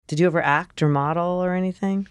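A woman hosting an interview, asking quick, curious follow-up questions. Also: lowish voice, fairly even intonation, clean tone, no room sound. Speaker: host. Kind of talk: interview, casual chat.

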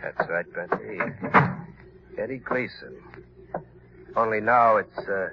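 A man's voice: on the low side, vocal fry. An actor playing a vengeful, unhinged killer in an old radio crime drama, taunting and gloating over his victim. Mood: menacing, taunting. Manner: taunting, drawn-out gloating with maniacal laughter. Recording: dull lo-fi old radio, background hiss.

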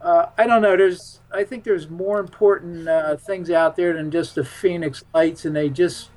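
A man's voice: a little high, steady tone, moderate pace, darkish tone, rough recording. A man speaking as a guest in a casual radio interview, rambling and emphatic in his manner.

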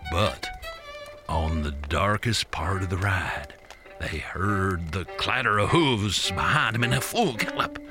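An actor playing a folksy American frontier storyteller spinning ghost tales theatrically in a radio drama, repeating words for suspense. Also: male voice, sits low, animated, slow, music in the background. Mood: ominous. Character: American frontier yarn-spinner telling tall ghost stories.

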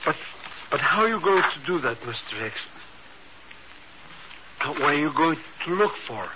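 Excitable, swoopy-voiced comic sidekick. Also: male voice, on the high side, measured pace. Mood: eager, curious. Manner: stammering, repetitive, eager chatter. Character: excitable, greedy, dim comic sidekick to a secret agent. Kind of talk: 1950s radio drama acting. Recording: muffled, noisy early-1950s radio recording.

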